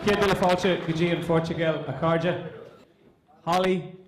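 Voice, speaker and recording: A man's voice: a little high, fairly even intonation, moderate pace. A man giving a formal, declamatory toast at a wedding. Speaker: wedding speaker. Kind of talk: speech at a wedding. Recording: noisy wedding crowd recording. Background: crowd chatter.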